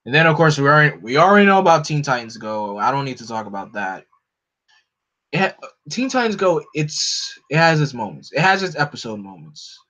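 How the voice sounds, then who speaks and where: A fairly high-voiced man holds forth on a casual home stream, opinionated and animated, his voice leaping up whenever he gets excited. The audio is dull and lo-fi. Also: a little fast. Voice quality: no regional accent. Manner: animated, opinionated, jumps up in pitch when excited. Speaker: streamer host. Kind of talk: casual chat to a live audience.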